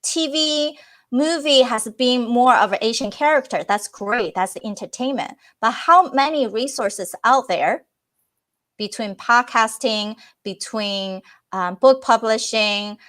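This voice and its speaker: A woman's voice: a little high, unhurried, fairly bright tone. A woman whose first language is Chinese, answering interview questions earnestly, with false starts and fillers. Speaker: podcast guest.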